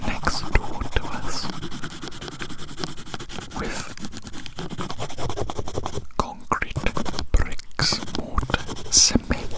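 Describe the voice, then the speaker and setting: A woman whispering a rambling ASMR roleplay very close to the microphone, softly repeating her phrases in a breathy, unvoiced voice. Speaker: ASMR performer.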